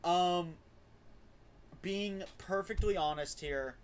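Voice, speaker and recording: A man's voice: high-pitched, slow, clear, resonant tone. A man hosting a casual game livestream, often stumbling over and repeating his words. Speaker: game streamer, host. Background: faint game music.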